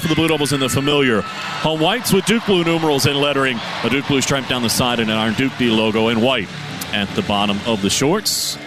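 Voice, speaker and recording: Casting: a mid-pitched male radio play-by-play announcer, brisk and rhythmic through lineups, with a clear music bed under him. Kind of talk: pregame sports radio broadcast. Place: arena broadcast position.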